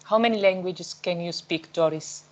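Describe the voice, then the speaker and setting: A woman moderating an online panel, asking questions and inviting the audience, with halting speech full of fillers. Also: on the low side, a little mellow. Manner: hesitant, many fillers.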